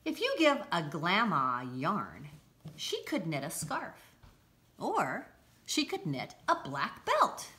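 Middle-aged woman, children's storybook reading, unhurried pace, clear emphasis, bright tone. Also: fairly low pitch. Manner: expressive, deliberate storytelling with emphasis. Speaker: school read-aloud presenter. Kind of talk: children's picture book read-aloud. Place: indoors, home-like room with armchairs.

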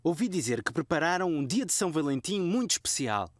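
A woman's voice: deep voice, sing-song, fairly quick. A woman with a European Portuguese accent voicing an easygoing, affectionate young father in a children's cartoon.

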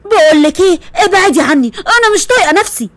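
Woman playing a sarcastic young Egyptian woman, tomboyish, exasperated, high, quick. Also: animated, dry recording, studio quality. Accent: Egyptian Arabic.

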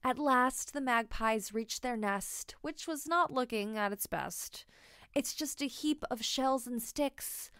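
Female storybook narrator: higher-pitched, crisp, slow read-aloud for young children, dry sound. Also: fairly bright tone, dry recording. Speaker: storybook reader.

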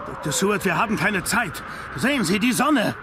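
An actor playing an urgent, commanding professor on a vampire hunt in a horror radio drama. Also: male voice, high voice, big pitch swings, normal speed, background noise.

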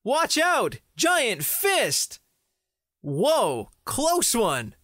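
An excitable, bossy little boy knight from a kids' comic , acted out by a woman. The delivery swings up and down wildly but takes its time, with a close, dry sound. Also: a little high, drawn-out words, no room sound, full character voice. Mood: alarmed. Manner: exclamatory, playful, dramatic. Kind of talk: children's comic read-aloud.

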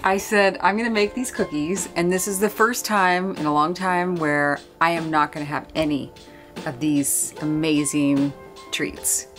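A woman's voice: deep voice, fairly even intonation, a little slow, fairly bright tone, soft background music. A middle-aged woman chatting casually and laughing at herself while filming in a boat's galley. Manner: laughing, chatty, self-mocking. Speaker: sailing vlogger. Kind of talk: casual vlog chat. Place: boat galley, indoors.

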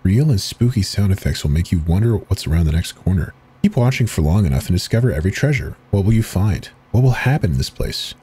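A man with a very husky, breathy voice reads in a slow, deliberate, ominous way. His pitch sits low but swings widely as he leans into each line, like a dramatic horror reading. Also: sing-song, dark tone. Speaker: channel host reading horror texts.

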